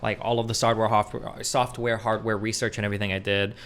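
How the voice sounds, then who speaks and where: A young man streamer in casual voice chat, talking fast with lots of fillers, matter-of-fact. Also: on the low side, little pitch movement, fairly bright tone, clean tone.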